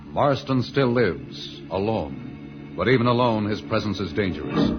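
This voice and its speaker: An actor as a dramatic, portentous announcer reading scripted narration for a vintage radio serial. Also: male voice, on the low side, very animated, measured pace. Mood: ominous. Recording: muffled old radio recording, loud music.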